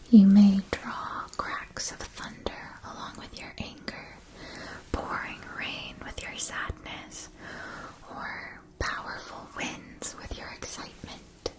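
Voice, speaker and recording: A gentle, attentive keeper of a magic wand shop, played by a woman in an ASMR fantasy roleplay. She speaks in a soft whisper and takes her time over each phrase. Her words are carefully formed and soothing. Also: lightly acted, low-quality recording. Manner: slow, soothing, careful enunciation. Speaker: ASMR performer.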